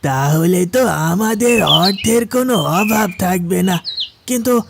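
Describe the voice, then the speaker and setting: A woman's voice: mid-range voice, measured pace, creaky, very noisy. A woman playing an eager, nervous bandit henchman in a moral-story cartoon, deferential to the boss. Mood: scheming, uneasy. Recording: loud music over the voice.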